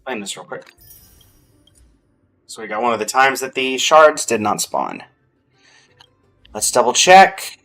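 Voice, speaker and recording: A man's voice: mid-range voice, animated, measured pace, long pauses, fairly bright tone, soft background music. A man giving casual gameplay commentary as a streamer, thinking aloud as he goes. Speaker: game streamer.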